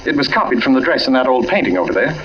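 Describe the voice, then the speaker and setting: A man playing an uneasy, indignant gentleman, hesitant and stiffly protesting, in 1940s radio mystery style. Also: medium pitch, big pitch swings, racing. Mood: guarded. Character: uneasy, indignant well-to-do gentleman under questioning. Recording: muffled old radio recording.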